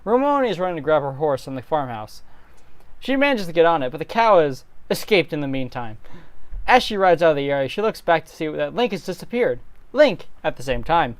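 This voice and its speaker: A man's voice: fairly high pitch, big pitch swings, brisk, clear, resonant tone, no room sound. A man narrating a dramatic reading of a script as storyteller.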